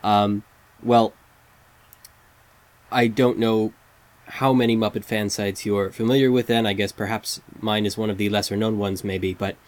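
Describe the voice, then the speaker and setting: A man's voice: lowish voice, steady tone, a little slow, pauses often. A man hosting a fan site interview, polite and earnest, hesitating with fillers. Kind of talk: casual interview.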